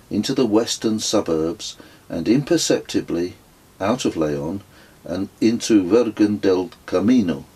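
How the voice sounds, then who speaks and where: A middle-aged man narrates a reflective travel memoir in his own words. His voice is fairly low and husky, and he takes his time over each phrase. The delivery is measured and literary, with a dry, wry edge. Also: darkish tone, rough recording. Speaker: author reading own memoir.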